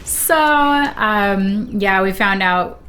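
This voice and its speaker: A middle-aged American woman chatting casually and animatedly about family life, quick to laugh. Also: medium pitch, a bit bright, slight background noise. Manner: chatty, laughs easily, quotes people animatedly. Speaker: guest on a sibling's game stream. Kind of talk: casual chat, telling family anecdotes.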